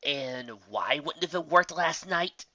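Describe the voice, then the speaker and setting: A man voicing a brash, hot-headed female pegasus pony, loud and demanding, in a story reading. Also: a little high, expressive, slow, dry recording, highs cut off, voice pushed up, heavily acted.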